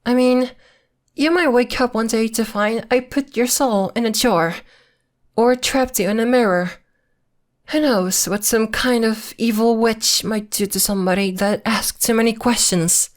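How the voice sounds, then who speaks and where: A woman's voice: a little high, measured pace, lightly acted. A woman playing a possessive girlfriend who is secretly a witch, coy and sweetly menacing, in an ASMR roleplay. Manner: coy, teasing, veiled threats in a sweet tone.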